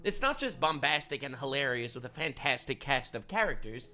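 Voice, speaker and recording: A man's voice: medium pitch, lively intonation, a little fast, pushed and bright, soft background music. A man giving earnest, explanatory narration for a scripted video essay.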